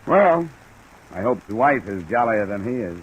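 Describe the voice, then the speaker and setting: An actor playing a wry, wisecracking American insurance investigator in a 1950s radio detective drama. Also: male voice, lowish voice, very animated, moderate pace. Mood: dry, businesslike. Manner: dry, wisecracking. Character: wry, brisk male insurance investigator narrating his case. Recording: noisy old radio transcription.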